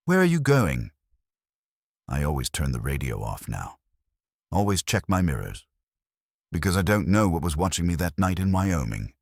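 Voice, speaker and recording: A man's voice: sits low, no room sound, clean studio sound. A man giving a scripted first-person horror narration in a grave, suspenseful storytelling manner. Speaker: narrator of horror stories, posing as a trucker.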